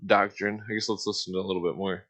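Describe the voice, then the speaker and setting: A man hosts a casual livestream with a sharp, forceful edge to his fairly low voice. He talks haltingly and informally, circling back on his words and swearing freely. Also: level tone. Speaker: livestream host.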